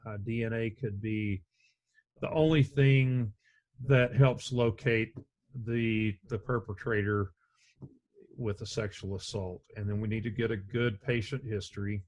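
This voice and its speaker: A middle-aged man with a deep voice teaching an EMS lecture over a video call, speaking in a measured, conversational way with frequent restarts. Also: steady tone, a little mellow. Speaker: EMS instructor. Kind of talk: recorded slide lecture. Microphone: webcam and computer mic on a video call.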